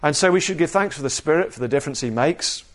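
A man preaching an expository sermon in a measured, explanatory manner, repeating key phrases for emphasis. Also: fairly high pitch, expressive. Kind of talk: sermon, expository Bible teaching.

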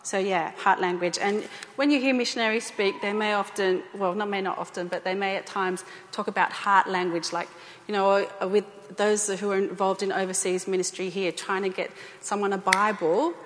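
A woman's voice: mid-range voice, fairly even intonation, moderate pace, a little hiss or hum. A woman giving an informal talk to a church group, speaking conversationally with fillers and repeated phrases. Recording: echoey hall.

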